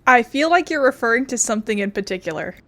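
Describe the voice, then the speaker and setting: Higher-pitched woman reacting to a game. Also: lively intonation, fast talker, fairly bright tone. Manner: informal, reactive, swears freely. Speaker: streamer playing a video game. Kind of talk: casual game commentary, reacting aloud.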